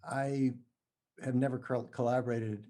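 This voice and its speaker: A male writer being interviewed, with a soft-edged, mellow tone at an average pitch. He explains things in a conversational way, stopping and restarting as he searches for the exact word. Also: fairly even intonation. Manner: halting, careful with word choice. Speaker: playwright and screenwriter guest.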